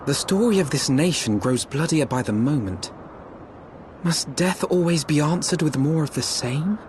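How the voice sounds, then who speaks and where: An earnest young noble, acted by a man reasons in eloquent, archaic language for a fantasy game. The voice is high and troubled, over faint noise. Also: animated, moderate pace, a little mellow, lightly acted. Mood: troubled, urgent. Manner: formal, eloquent, questioning.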